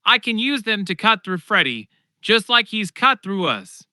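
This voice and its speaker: A fierce young punk rocker woman, acted out by a male narrator, speaks in a raised, bright voice. The delivery is emphatic and defiant, like a fighter in a dramatic audiobook, with a close, dry sound. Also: high-pitched, very animated, a little slow, dry recording, pitched above the performer's own voice, heavily acted.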